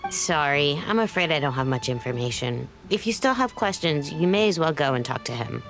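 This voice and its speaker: An actress playing a friendly, gossipy, war-weary produce seller in a video game, chatty and expressive. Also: female voice, low-pitched, a little fast, soft background music. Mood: weary, apologetic. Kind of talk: video game character acting.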